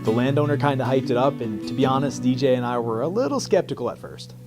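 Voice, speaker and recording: A man's voice: medium pitch, lively intonation, quick pace, loud music behind the voice. A man in his thirties, a hunting mentor, telling in an earnest, plain way how a hunt went. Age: 30s. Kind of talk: casual recounting interview.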